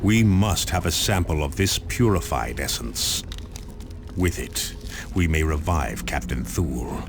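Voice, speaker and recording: An actor playing a solemn space marine commander who narrates in a grave, portentous, formal way for a game. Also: male voice, rumbling low, animated, a little slow, noisy background, full character voice. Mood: grave, commanding. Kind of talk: dramatic scripted game narration. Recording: loud music under the voice.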